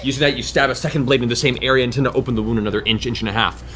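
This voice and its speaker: A man's voice: medium pitch, steady tone, racing, a bit bright. Man narrating dramatically as game master. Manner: vivid, dramatic describing with spoken sound effects. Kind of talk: improvised narration of a tabletop fantasy game.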